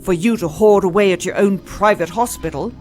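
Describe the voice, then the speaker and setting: A woman's voice: medium pitch, brisk, darkish tone, faint music behind, close to an everyday voice. A woman playing a principled, no-nonsense doctor in an audio drama, blunt and sarcastic. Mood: defiant.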